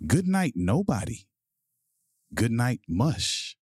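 A man hosting a podcast and reading a children's picture book aloud in a measured, deliberate bedtime-story delivery. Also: mid-range voice, very animated, darkish tone, slightly rough, no room sound, studio quality.

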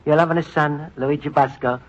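A man's voice: a little high, steady tone, normal speed, background noise, lightly acted. An actor playing a naive, earnest Italian immigrant man with an Italian accent and broken English, in a 1950s radio comedy. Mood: fond. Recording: dull old radio broadcast.

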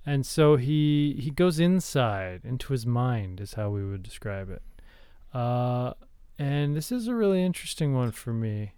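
Clear-voiced deadpan man co-hosting a podcast. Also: mid-range voice, dry recording, studio quality. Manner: earnest, deadpan, halting. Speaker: podcast co-host. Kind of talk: deadpan comedic screenwriting talk.

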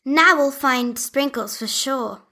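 A woman voicing a sweet female toy doll looking for her lost dog, in a high, pleading voice. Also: slow pace, pushed and bright, heavily acted.

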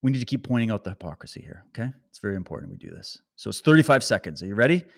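A man hosting a political commentary stream, talking straight to his viewers with animated sarcasm. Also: lowish voice, racing, creaky. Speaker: commentary host.

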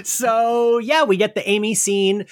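A man's voice: high-pitched, steady tone, a little slow. A man co-hosting a podcast, chatting casually about an audio drama with lots of "like" and trailing asides. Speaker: podcast co-host.

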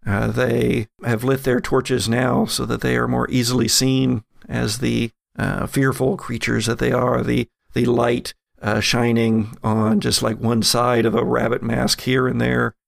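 A man acting as game master, improvising horror narration for a tabletop game with halting, suspenseful pauses. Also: mid-range voice, flat delivery, gravelly, no room sound, clean studio sound.

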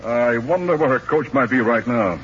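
An actor playing a treacherous, ambitious Spanish general in a 1940s radio drama, suave and menacing in manner. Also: male voice, mid-range voice, animated, normal speed, slightly rough. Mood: scheming. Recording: muffled 1940s radio broadcast, faint noise.

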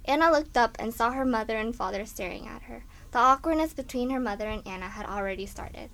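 A woman's voice: fairly high pitch, animated, brisk, gravelly, fairly bright tone. A woman reading scripted narration for a children's story as the narrator. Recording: faint background noise.

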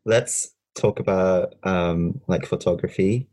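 A man's voice: low-pitched, fairly even intonation, takes it slowly, mellow. A man hosting a podcast interview, asking questions hesitantly with many fillers and stalls. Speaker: podcast host. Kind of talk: casual interview.

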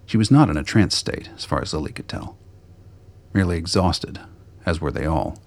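A man with a deep, gravelly, husky voice reading scripted narration for a radio play. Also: lively intonation, moderate pace.

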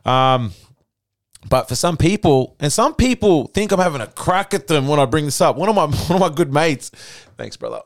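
Animated man chatting. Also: fairly high pitch, moderate pace, no room sound, clean studio sound. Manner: animated storytelling, lots of "you know". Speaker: podcast host. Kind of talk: casual chat.

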